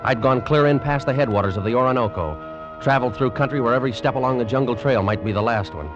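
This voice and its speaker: An actor playing a determined, haunted adventurer with an American accent, acting and narrating dramatically in 1940s radio style. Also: male voice, mid-range voice, quick pace, dull audio, close to an everyday voice. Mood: defiant, grim. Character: determined, haunted adventurer narrating his perilous voyage, man. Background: dramatic music.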